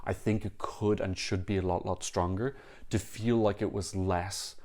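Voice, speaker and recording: A man talking casually to camera, giving frank, critical opinions in quick bursts and often restarting his sentences. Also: low-pitched, steady tone, a little mellow. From Ireland. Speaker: Eurovision reaction vlogger.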